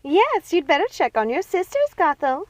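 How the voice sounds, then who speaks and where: A woman voicing a gleeful, mocking witch sister in a storybook, with excitable, screechy exclamations. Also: extremely high pitch, normal speed, no room sound, voice pushed up. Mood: urgent. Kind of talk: storybook character voice.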